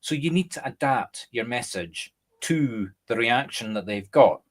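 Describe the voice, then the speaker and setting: A Scottish man, a medium and co-host, chatting casually and explaining through lively examples. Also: medium pitch, unhurried. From Scotland. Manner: animated, explains through examples and acted-out quotes. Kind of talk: casual conversation.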